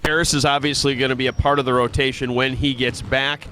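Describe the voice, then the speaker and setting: A man's voice: mid-range voice, flat delivery, quick pace, bright, forceful tone, slight background noise. A man working as a sports play-by-play announcer, conducting an interview with some stops and starts.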